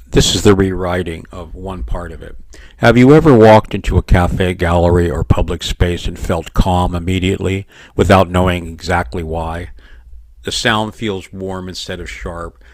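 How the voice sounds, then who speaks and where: A man with a very deep voice talks loosely over a desk microphone on a video call, rambling and restarting his sentences. He has a loud voice and laughs often. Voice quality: loud voice, by his own account. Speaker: show guest or host talking about his design work. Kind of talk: casual video call chat. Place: home room in Japan.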